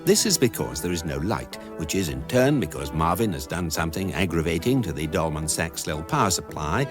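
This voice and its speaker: Deep posh-dry british narrator, deadpan sci-fi comedy, music behind. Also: male voice, a little fast. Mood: wry. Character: unruffled narrating electronic guidebook, wry, learned man. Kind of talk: scripted comic narration.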